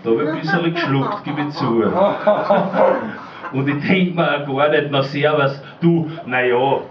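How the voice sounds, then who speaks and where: A man's voice: fairly high pitch, very animated, a little slow, over a noisy crowd. A man reciting a spoken-word dialect piece at a poetry slam. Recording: roomy, distant, muffled live recording, faint noise.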